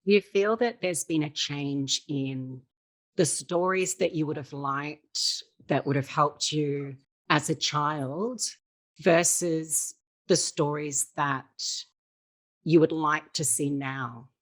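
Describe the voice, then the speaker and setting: A woman hosting an interview, asking measured and encouraging questions. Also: low-pitched, steady tone. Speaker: interviewer host.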